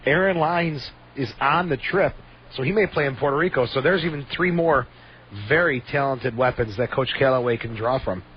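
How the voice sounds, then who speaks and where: A man's voice: mid-range voice, moderate pace. A man hosting a sports radio call-in show, upbeat and moving the conversation along. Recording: dull lo-fi radio audio, faint noise.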